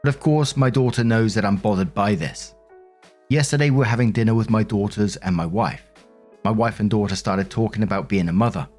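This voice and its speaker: Deep-voiced man reading stories aloud. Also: fairly quick, a little mellow, faint music behind. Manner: informal, conversational, swears freely. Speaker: narrator and host of a Reddit stories show. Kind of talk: reading online stories aloud with casual commentary.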